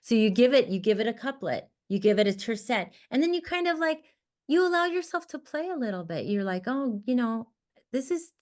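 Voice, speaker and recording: A woman poet whose first language was Armenian, speaking in an expressive, emphatic conversation over a video call. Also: brisk. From United States. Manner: expressive, emphatic, rises in pitch when animated. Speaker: poet, guest author. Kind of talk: casual conversation about writing. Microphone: computer mic on a video call.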